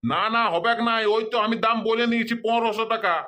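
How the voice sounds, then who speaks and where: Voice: quick pace, bright, forceful tone, close to an everyday voice. A performer voicing an insistent old village street seller with a Purulia Bengali accent in a comedy cartoon. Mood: bargaining. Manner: insistent, repetitive street-hawker sales pitch.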